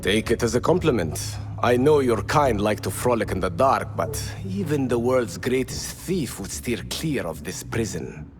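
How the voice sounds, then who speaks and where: An actor playing a bold, witty, teasing rebel with a self-assured manner in a video game. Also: male voice, medium pitch, big pitch swings, normal speed, music in the background, lightly acted.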